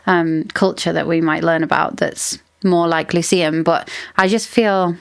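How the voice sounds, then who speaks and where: A woman hosts a casual book podcast, musing out loud and circling back with "like" and "I don't know" as she works out her ideas. Her voice sits a bit low, and the recording is close and dry. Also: fairly even intonation, measured pace, creaky, clean tone, dry recording. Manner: thinks aloud, rambling, lots of "like" and hedging.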